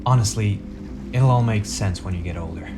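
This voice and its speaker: An actor playing a stubborn, gruff, weary male superhero in a radio play, with music underneath. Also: deep voice, normal speed, natural voice. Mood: wry, weary.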